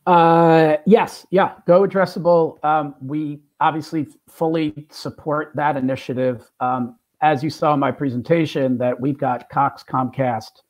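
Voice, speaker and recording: A man answering on a video call panel, steady and upbeat with fillers. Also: fairly high pitch, unhurried, hardly any pauses. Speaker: sales executive panelist. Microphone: video call webcam.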